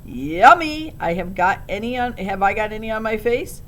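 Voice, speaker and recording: A woman's voice: lively intonation, moderate pace, lightly acted. A woman voicing a fussy, formal, oddball schoolboy in a storybook. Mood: pleased. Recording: low rumbling background noise.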